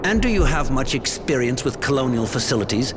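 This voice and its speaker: An actor playing a haughty, coldly mocking camp officer in a video game, speaking with imperious sarcasm. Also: male voice, medium pitch, expressive, fairly quick, noisy background, natural voice. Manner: imperious, sardonic. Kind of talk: video game cutscene acting.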